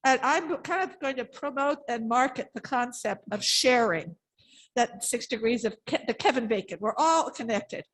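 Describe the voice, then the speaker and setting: A woman's voice: on the high side, lively intonation, moderate pace, a bit bright, a little hiss or hum, low-quality recording. An elderly woman sharing heartfelt, unscripted thoughts at a church gathering in an earnest, emphatic way. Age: 70s or older. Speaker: congregation member responding to a message.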